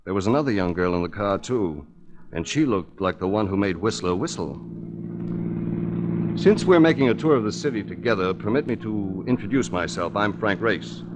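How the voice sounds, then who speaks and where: A man's voice: fairly low pitch, animated, normal speed, noisy background, lightly acted. A man playing a suave, wry hard-boiled investigator in a 1940s radio drama, speaking in a dry, urbane, wisecracking way. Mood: urbane, flippant.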